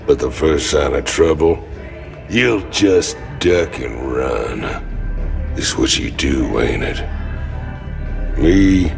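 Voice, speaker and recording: An actor playing a cynical, hulking outlaw space marine in a video game, with a gruff, sneering drawl. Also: male voice, animated, slow, long pauses, heavily acted. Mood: taunting. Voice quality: very deep gravelly voice. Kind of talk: video game cinematic acting. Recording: loud music behind.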